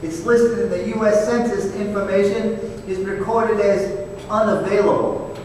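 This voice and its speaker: Tough, wisecracking investigator sidekick acted by a man, highish voice, blunt and teasing, radio drama staged in a big reverberant hall. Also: steady tone, moderate pace, darkish tone, natural voice. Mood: matter-of-fact. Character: blunt, wisecracking, tough male detective partner. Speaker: investigator partner. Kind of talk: old time radio drama performance. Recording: very echoey hall, distant mic, faint noise.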